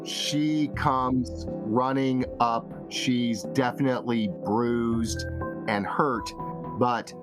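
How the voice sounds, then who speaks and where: A man's voice: mid-range voice, fairly even intonation, very slow, music in the background. A man running a tabletop fantasy game as game master, narrating the action.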